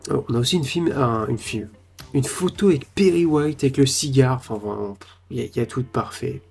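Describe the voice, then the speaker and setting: A man hosting a casual trailer reaction, excited and rambling, with many fillers and restarts. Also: mid-range voice, brisk, vocal fry, slightly rough, faint music behind. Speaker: channel host reacting to trailers.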